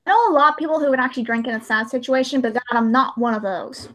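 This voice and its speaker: A woman's voice: on the high side, fast talker, fairly bright tone. A woman chatting casually as host of a livestream, in a talkative, informal way full of exclamations.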